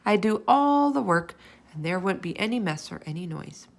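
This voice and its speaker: A woman's voice: fairly low pitch, sing-song, normal speed, vocal fry, heavily acted. A woman voicing an eager, talkative young schoolgirl in a children's storybook. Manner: chatty, eager. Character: eager, talkative young schoolgirl who adores a pet hamster.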